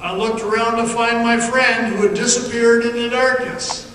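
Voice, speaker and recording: A man playing a frightened miner recounting a haunting underground ordeal as a halting, suspenseful radio theatre monologue. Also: very high voice, little pitch movement, bright, forceful tone. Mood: fearful, tense. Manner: halting, suspenseful, dramatic storytelling. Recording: noisy, very echoey hall, distant mic.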